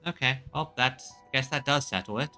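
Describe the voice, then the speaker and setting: A man's voice: mid-range voice, fairly quick, pushed and bright, soft background music. A man doing casual video game commentary as a streamer, chatting and reading lines aloud.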